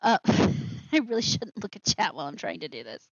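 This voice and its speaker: A woman's voice: fairly low pitch, big pitch swings, normal speed, vocal fry, dry recording, muffled recording. A woman hosting a live show with casual commentary, rambling and interrupting herself with asides.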